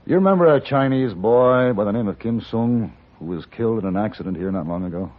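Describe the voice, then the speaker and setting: An actor playing a cool, courteous, steely gun-for-hire in a 1950s Western radio drama, speaking in a measured, dry, formal way. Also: male voice, fairly low pitch, lively intonation, close to an everyday voice. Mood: cool, firm. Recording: muffled vintage radio recording.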